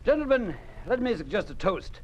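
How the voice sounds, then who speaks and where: An actor in a 1950s radio drama playing a genial, talkative Communist party secretary with a smooth, persuasive manner. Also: male voice, high voice, very animated, fairly quick. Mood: jovial, expansive. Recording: muffled, noisy old 1950s radio recording.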